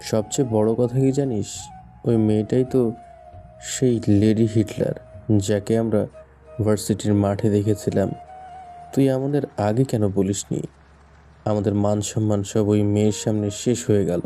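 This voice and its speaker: A man's voice: on the low side, fairly even intonation, measured pace, long pauses, mellow, natural voice. A man playing a young friend in an audio story, dismayed, mock-grave and given to exaggeration. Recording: music behind the voice.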